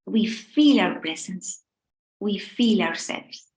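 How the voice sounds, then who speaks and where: A middle-aged woman from Spain with a Spanish accent, gently leading a meditation talk in short, unhurried phrases with pauses. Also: mid-range voice, animated, reverberant. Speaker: meditation teacher.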